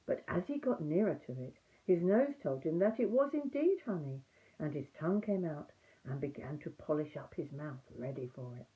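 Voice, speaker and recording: An older woman reading a children's story aloud in an expressive, emphatic storytime manner. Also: deep voice, normal speed, narrow, tinny audio. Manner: animated, emphatic reading for young listeners.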